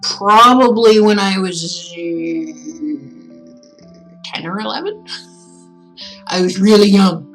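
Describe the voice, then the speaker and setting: Woman streamer just chatting, slow n rambly, thinking out loud, music playing behind her. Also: mid-range voice, lively intonation, poor audio. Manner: rambling, musing aloud, informal. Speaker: streamer reading stories aloud. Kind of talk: casual livestream chat.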